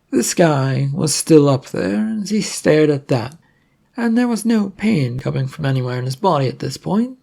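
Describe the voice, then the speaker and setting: A storyteller with a husky, creaky voice at a middling pitch. The delivery is scripted narration of an adventure tale, read in audiobook fashion. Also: warm tone.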